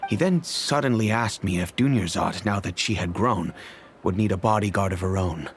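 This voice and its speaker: An actor playing a dignified older nobleman and father, speaking formally and gravely with measured pauses in a video game. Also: deep voice, slight background noise. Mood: troubled, reminiscing. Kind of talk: video game character dialogue. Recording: music behind the voice.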